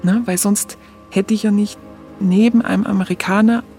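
An actress plays a Bavarian woman looking back on hard wartime years in a radio drama. She talks colloquially and confidingly, halting as memories come, at a middling pitch. Music plays clearly behind her. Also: fairly even intonation, mellow, close to an everyday voice. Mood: candid, confiding. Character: Munich woman candidly recalling her hungry youth in 1945. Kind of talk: first-person radio play narration.

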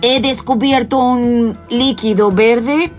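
A woman's voice: a little high, steady tone, slow, faint music behind. A woman playing an eccentric male scientist, dazed and rambling in bewilderment, in an improvised radio play. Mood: feverish, pompous.

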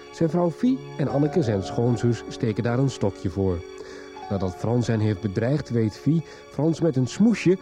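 A male radio serial narrator with a mid-range voice reads a scripted recap. He sounds like a deliberate storytelling announcer, with music clearly playing underneath. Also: mid-range voice.

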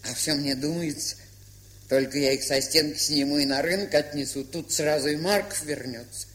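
An actress playing a gruff, stubborn but kind-hearted old aunt in a radio play, with an aged, husky, folksy, grumbling way of talking. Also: female voice, low voice, steady tone, normal speed, a little hiss or hum, close to an everyday voice. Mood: regretful. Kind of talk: Soviet radio play acting.

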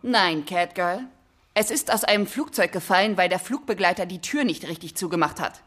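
An actress playing a brisk, strict female teacher at a superhero school in a children's radio play, instructing and commanding. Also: on the low side, fairly bright tone.

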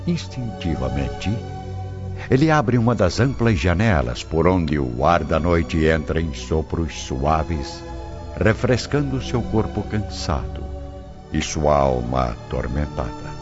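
A man's voice: a bass voice, very animated, slow pace. A man with a Brazilian accent delivering solemn, literary, dramatic narration for a radio drama. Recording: dull lo-fi with prominent music.

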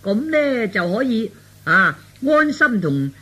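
A high-pitched, meddling older aunt, acted out by a male storyteller, in a radio play. The voice swoops as it coaxes and advises, with insistent drawn-out endings. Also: big pitch swings, pushed and bright, heavily acted. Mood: insistent advice.

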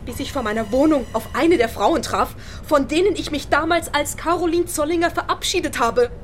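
A woman's voice: high-pitched, fairly quick, slight background noise, lightly acted. A woman playing an earnest, theatrical actress, proud of her craft, in a German-language radio play. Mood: troubled.

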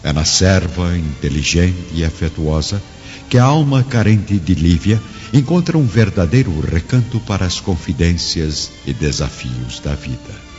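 Casting: male narrator, very low solemn voice, measured dramatic storytelling, swimming in long reverb. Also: expressive, a little mellow. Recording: muffled, long reverb, music behind.

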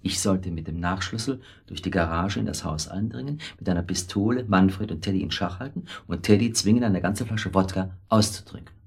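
A middle-aged husband in a naturalistic radio play, with a low, husky voice. He rambles hesitantly through a confession, forever justifying himself and plainly weak. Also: brisk, few pauses, full character voice. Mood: defensive, confessing. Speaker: radio drama actor. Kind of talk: naturalistic German radio drama acting.

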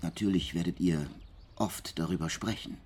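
An actor playing an earnest male friend in a German radio drama, with a slightly husky voice and a measured, counselling manner. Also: sits low, a little mellow. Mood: earnest reassurance.

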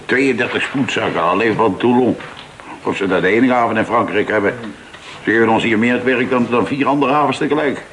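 An actor playing a sardonic, weary office superior in a Dutch radio drama, with a mocking, grumbling way of talking. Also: male voice, on the low side, fairly quick, long pauses, background noise, close to an everyday voice.

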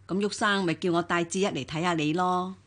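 A woman acts out a deferential female household helper in a radio play. She speaks respectfully in a slightly low voice, with a Hong Kong Cantonese accent. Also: steady tone, brisk, a bit bright, close to an everyday voice. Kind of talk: radio drama acting.

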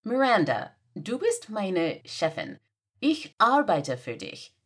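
A flustered young female assistant, read by a woman meets each demand with baffled, exasperated questions. She speaks slowly and deliberately, and her pitch swings widely up and down. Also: wildly swooping pitch, slightly rough, heavily acted. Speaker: podfic reader. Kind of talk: German audio drama reading.

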